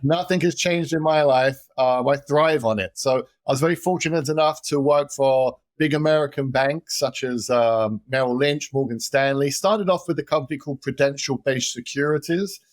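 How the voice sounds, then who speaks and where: A man with a London accent, talking as a guest in an interview with lively energy, often repeating words as he starts a sentence. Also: mid-range voice, normal speed, few pauses, no room sound. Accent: London English. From London, England. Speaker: guest, gold investment expert. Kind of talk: casual interview talk.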